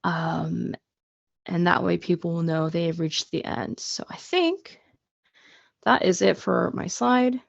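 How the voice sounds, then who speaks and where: A woman's voice: lowish voice, takes it slowly, vocal fry, no echo. A woman teaching a casual online class, chatty and self-deprecating, over a muffled video call. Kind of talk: casual live online lesson.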